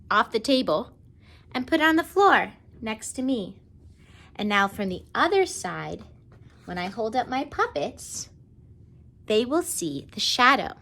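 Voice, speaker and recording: Woman w a highish voice doing a kids how-to, really slow and simple, teacher style, keeps checking in. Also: a bit bright. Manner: simple child-directed talk with frequent check-in questions. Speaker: teacher demonstrating a craft for children. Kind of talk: step-by-step how-to demonstration for children. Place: indoors at home.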